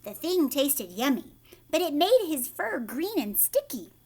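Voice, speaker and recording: A woman reading a children's story aloud like a teacher, expressively, pausing to ask her young listeners questions. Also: high voice, very animated, darkish tone.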